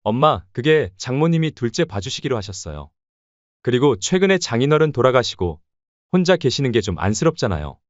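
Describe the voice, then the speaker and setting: Story role: man as defensive adult son, placating, mid-pitched but very varied in intonation, slightly dull sound. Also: sing-song, brisk, dry recording, lightly acted. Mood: cautious. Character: evasive adult son excusing himself to his mother. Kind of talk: dramatized story dialogue.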